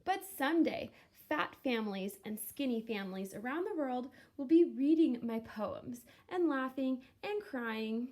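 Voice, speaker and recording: A woman reading a children's book aloud expressively, with theatrical, deliberate phrasing and drawn-out words. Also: high voice, animated, a little mellow. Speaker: reader of a children's picture book, teacher. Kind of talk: expressive storybook read-aloud.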